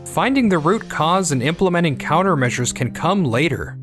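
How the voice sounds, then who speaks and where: Man acting as a fair-minded, animated young-sounding team leader. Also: fairly high pitch, normal speed, natural voice. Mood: calm, reassuring. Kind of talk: anime-style manga dub dialogue. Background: background music.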